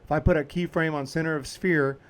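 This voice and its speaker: Casual man with a slightly high voice. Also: fairly even intonation, fairly quick, very noisy. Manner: informal, explains as he clicks along. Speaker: video editing tutorial host. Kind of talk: casual step-by-step screen tutorial.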